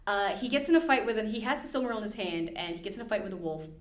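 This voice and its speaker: An American woman hosting a podcast, chatting casually and retelling stories in an animated, slangy, jokey way. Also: mid-range voice, racing. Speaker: podcast host. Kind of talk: casual podcast chat and storytelling. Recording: roomy, echoey indoor sound.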